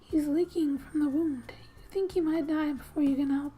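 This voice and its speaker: A woman speaking softly and breathily in gentle ASMR style, often slipping into a whisper as she reads a game story aloud with casual asides. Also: sits high, fairly even intonation, normal speed. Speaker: gaming ASMR creator. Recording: quiet background music. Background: soft music.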